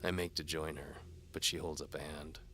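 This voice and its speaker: Low, forceful hard-boiled male narrator. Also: flat delivery, normal speed, a little hiss or hum. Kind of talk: dramatic first-person noir narration.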